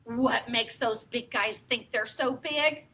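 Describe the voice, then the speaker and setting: Woman as an indignant, bright-voiced big storybook hero. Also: fairly high pitch, slow, a little hiss or hum, voice pushed down, full character voice. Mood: boastful, resolute. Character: big, loyal, indignant storybook friend, self-declared hero.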